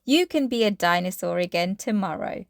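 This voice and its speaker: A woman voicing a gentle, encouraging mummy pig with a patient, motherly manner in a children's storybook. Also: mid-range voice, lively intonation, moderate pace, vocal fry, dry recording, studio quality. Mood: reassuring. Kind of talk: children's storybook read-aloud.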